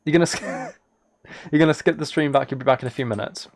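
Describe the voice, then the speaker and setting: A man's voice: on the high side, brisk, slight background noise. Man chatting casually on a live game stream. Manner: rambling, thinking aloud, chatting with viewers. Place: indoors at a computer, keyboard clicks behind.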